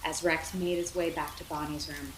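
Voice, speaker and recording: A woman reading a children's bedtime story aloud as a mother would, in an expressive, theatrical way. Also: sits low, moderate pace. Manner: animated, theatrical, with dramatic whispers and asides. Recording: roomy echo, clear background noise.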